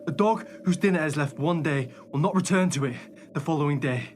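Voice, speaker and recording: A man's voice: fairly high pitch, fairly quick, darkish tone, lightly acted. Actor as an anxious man under siege, noisy background. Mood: uneasy. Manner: urgent, earnest. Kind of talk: radio play acting. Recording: clear background noise.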